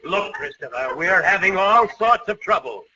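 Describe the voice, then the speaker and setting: An actor playing a blustery, fussy older uncle in a 1940s radio comedy. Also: male voice, sits high, lively intonation, moderate pace, far from the mic, a crowd in the background. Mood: bemused. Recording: muffled, noisy old radio recording.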